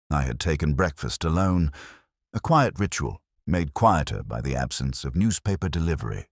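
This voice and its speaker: An actor playing a world-weary, literate older police inspector, narrating his case in a measured, wry, reflective way. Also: extremely low pitch, dry recording, studio quality, full character voice. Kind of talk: scripted first-person detective narration.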